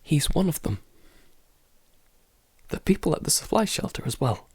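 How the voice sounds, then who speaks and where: A man playing a paranoid, isolated man in an audio drama, halting and stammering, often sighing and dropping into whispers. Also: fairly high pitch, very animated, slightly rough, no echo, exaggerated voice. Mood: paranoid, despairing. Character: paranoid isolated man unravelling under a creeping unseen menace. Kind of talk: audio drama monologue, fragmented confessional.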